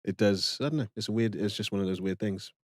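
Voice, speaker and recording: A man's voice: on the low side, little pitch movement, fast, a little mellow, no room sound. A man from Nigeria co-hosting a casual live stream chat, rambling with lots of fillers and laughs. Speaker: co-host.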